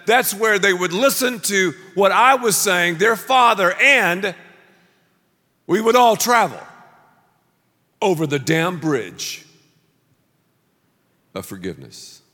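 Animated pastor preaching in a reverberant hall. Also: male voice, high-pitched, slow, pauses often. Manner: animated storytelling, shouting acted-out lines. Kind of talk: sermon.

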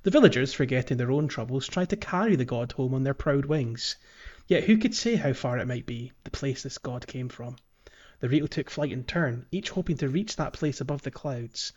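A man's voice: medium pitch, lively intonation, moderate pace, darkish tone, highs cut off. A man hosting a podcast, talking casually through a video game and sometimes reading aloud. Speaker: podcast host.

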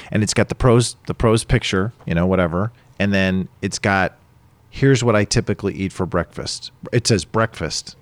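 A man co-hosting a casual talk show, animated, laughing often and acting out what people say. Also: sits low, dry recording. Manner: animated, laughs often, quick to act out quotes. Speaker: podcast co-host. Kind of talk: casual chat.

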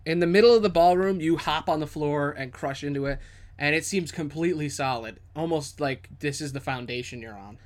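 A man's voice: fairly high pitch, brisk. A man improvising descriptive, dramatic second-person narration as the game master of a tabletop fantasy game.

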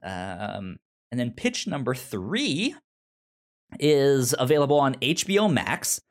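A man with a pronounced stutter, casually pitching films as a podcast host in a halting, informal way. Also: sing-song. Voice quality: pronounced stutter with repeated initial sounds. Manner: halting, informal, hesitant phrasing.

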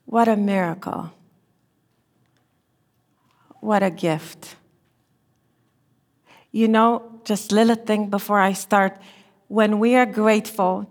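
A middle-aged woman from Romania with a Romanian accent, giving a gentle, unhurried chapel talk as a therapy lecturer. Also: medium pitch. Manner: gentle, unhurried, slightly non-native phrasing. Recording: long reverb in a chapel hall.

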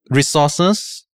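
A man who grew up in Thailand, speaking as a film director guest on a podcast, telling stories with lots of restarts and quoted voices. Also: no room sound. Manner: animated storytelling, acts out quoted people, many restarts. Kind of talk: casual podcast chat.

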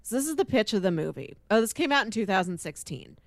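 A woman co-hosting a podcast in casual chat, speaking in an enthusiastic, expressive way. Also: medium pitch, fast, creaky, no echo, studio quality. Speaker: podcast co-host. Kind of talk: casual chat with read-out film pitches.

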